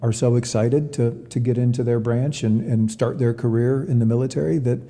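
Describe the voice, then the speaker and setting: Really mellow guy answering interview questions, stumbles and repeats words, echoey reverberant sound. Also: mid-range voice, fairly even intonation, normal speed. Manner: hesitant, repeats words while explaining. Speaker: college athletic director, interview guest. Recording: long reverberant room sound.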